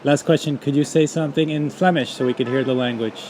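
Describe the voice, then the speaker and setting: A Canadian man asking strangers quick questions in a casual street interview. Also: mid-range voice, a little mellow. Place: outdoors on a city street. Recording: noisy city street.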